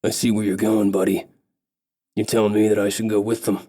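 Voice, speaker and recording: Guy voicing a gruff hired guide, blunt, all about the money. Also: on the low side, level tone, fairly quick, warm tone. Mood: skeptical. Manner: blunt, bargaining. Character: gruff male mountain guide, wary mercenary.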